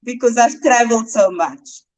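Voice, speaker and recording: A woman with a South African Cape Flats accent, a guest speaking in a slow, declaiming, preacherly way. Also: a little high. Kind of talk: impassioned online discussion.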